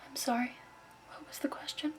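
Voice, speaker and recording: A woman doing an ASMR shopkeeper roleplay in a soft, breathy, whispered voice, gently instructing. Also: high-pitched, fairly even intonation, fairly quick. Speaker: ASMR performer playing a crystal shop keeper.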